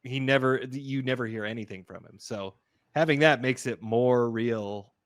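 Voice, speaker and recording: A man's voice: medium pitch, moderate pace, no echo, studio quality. A man co-hosting a film podcast, chatting casually about movies.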